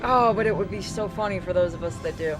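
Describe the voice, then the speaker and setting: A man's voice: sits high, fast talker. A man giving casual, joking gameplay commentary as a streamer, swearing freely. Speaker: game streamer. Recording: game music behind the voice.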